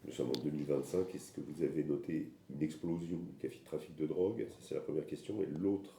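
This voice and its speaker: A man's voice: very deep voice, fairly quick, vocal fry, dark tone. A man interviewing a police director, asking questions hesitantly and searching for words. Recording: echoey room with faint background noise.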